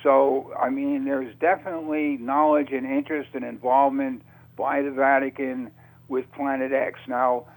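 A man at a middle pitch talks as a guest in a radio interview. He speaks off the cuff and haltingly, groping for words and repeating himself. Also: little pitch movement, rough recording. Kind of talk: unscripted radio interview talk.

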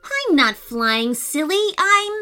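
A woman's voice: sits high, very animated, slow, pushed and bright, faint music behind, voice pushed up, full character voice. A woman voicing a feisty, sceptical young girl in an audio storybook, teasing and exclaiming. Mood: teasing, delighted.

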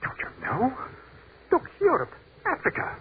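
Dead army captain, stammery then rapturous, thin old radio sound w/ hiss. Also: male voice, very high voice, wildly swooping pitch, measured pace, narrow, tinny audio, heavily acted. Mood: exalted. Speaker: lead actor. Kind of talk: 1940s radio drama acting.

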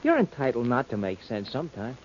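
An actor playing a dim, admiring sidekick in a 1940s radio drama, talking in naive, stammering slang. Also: male voice, mid-range voice, wildly swooping pitch, fairly quick. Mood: eager, puzzled. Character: dim, eager, admiring sidekick, adult man. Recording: dull, noisy vintage radio recording.

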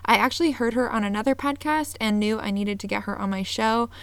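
A woman's voice: on the high side, fairly even intonation, a little fast, no echo. A woman hosting a podcast, earnest and upbeat, reading her intro and then chatting with a guest. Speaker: podcast host. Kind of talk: scripted intro then casual interview.

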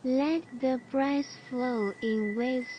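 A woman narrating a guided body scan relaxation, giving soothing, measured instructions with long pauses, recorded over chirping birds. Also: high-pitched, steady tone, muffled recording. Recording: clear background noise with birdsong. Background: chirping birds, nature ambience.

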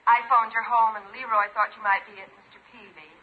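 A woman's voice: on the high side, flat delivery, a little fast. An actress playing a polite woman calling a suitor through a telephone filter in a 1950s radio comedy. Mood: apologetic, hopeful. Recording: tinny phone line, old radio recording.

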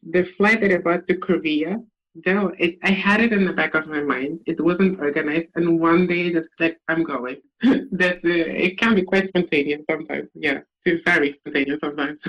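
A man telling travel stories in a casual chat over a video call, animated, laughing often and acting out what people said to him. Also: fairly high pitch, moderate pace. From Netherlands. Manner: animated storyteller, laughs often, acts out exchanges. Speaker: podcast guest. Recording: dull lo-fi video call audio.

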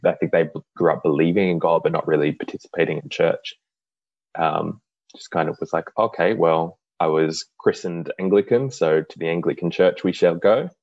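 Deep-voiced Australian man, laid-back. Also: moderate pace, no echo, dull audio. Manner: relaxed, chatty, dry humor. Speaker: podcast guest. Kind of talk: casual interview.

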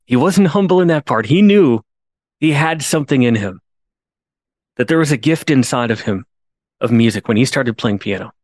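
Male podcast host, mid pitch, earnest storyteller with emphatic rises, dry booth-close sound. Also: lively intonation, normal speed, long pauses, darkish tone, dry recording. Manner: earnest, repeats words when restarting, rises to emphatic pleas. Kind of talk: storytelling monologue.

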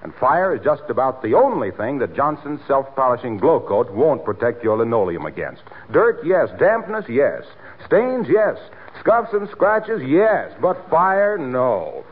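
An actor playing a hearty, eager pitchman pal in 1940s radio announcer style, emphatic and salesmanlike. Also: husky, exaggerated voice. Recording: scratchy narrow-band old radio broadcast.

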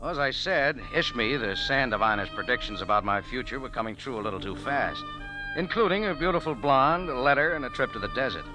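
An actor playing a tough café owner who narrates a 1940s radio drama in a laconic, hard-boiled way. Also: male voice, medium pitch, expressive, brisk, lightly acted, poor audio. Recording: old radio broadcast with music.

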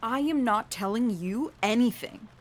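A woman's voice: fairly high pitch, lively intonation, measured pace. A woman playing a guarded, irritable young loner in a comic audio drama, curt and defensive. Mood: guarded, exasperated. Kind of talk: audio drama comedy acting.

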